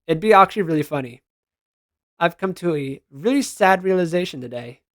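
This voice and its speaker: A man's voice: on the high side, animated, brisk, dry recording, clean studio sound. A man giving casual, slangy reaction commentary as a gaming content creator, responding aloud to what he reads.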